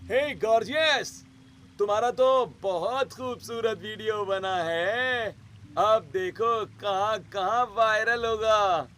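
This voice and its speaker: Man acting a high-pitched, cheeky leering young man. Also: takes it slowly, fairly bright tone, a little hiss or hum. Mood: smug, teasing.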